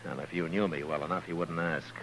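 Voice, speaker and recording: An actor playing a firm, laconic American frontier marshal in a measured 1950s radio western style. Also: male voice, sits low, steady tone, a bit bright. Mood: resolute. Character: steady, authoritative frontier US marshal, adult man. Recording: muffled, noisy old radio recording.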